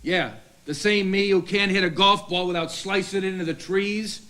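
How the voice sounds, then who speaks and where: A man's voice: sits high, steady tone, moderate pace, sharp tone. An actor playing a bitter, exasperated middle-aged husband who complains about his life in a comedy film. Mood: bitter, weary.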